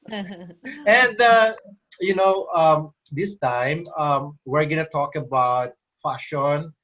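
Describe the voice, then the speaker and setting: Male co-host, slightly high voice, very slow and hesitant, muffled lo-fi recording. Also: fairly bright tone. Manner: slow, hesitant, with many fillers. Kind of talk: casual online show hosting. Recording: dull lo-fi audio.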